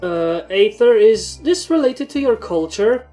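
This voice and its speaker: A woman's voice: mid-range voice, lively intonation, a little slow, dark tone, faint music behind, pitched above the performer's own voice, close to an everyday voice. A woman voicing a friendly, trusting young male dragon in a story reading. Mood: concerned.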